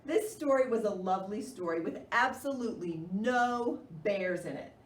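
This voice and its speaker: A woman hosting storytime for young children, reading aloud in an animated, expressive way. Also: medium pitch, normal speed. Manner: animated, expressive, with theatrical gasps. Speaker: children's librarian and storytime host. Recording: roomy, distant mic, faint noise.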